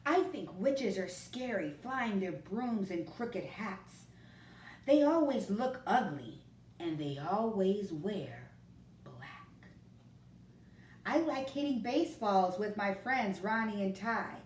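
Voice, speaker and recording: A woman reading a picture book aloud, slow and expressive, sometimes dropping to a hush, in a roomy recording from a distance. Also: medium pitch, a little hiss or hum. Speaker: storyteller.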